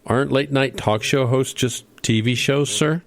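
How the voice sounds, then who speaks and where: A man's voice: mid-range voice, steady tone, moderate pace, vocal fry, dry recording, studio quality, close to an everyday voice. A man playing an eager, bumbling middle-aged dad who hosts a family show in a comedy radio play, earnest and a bit hesitant. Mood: hesitant, polite.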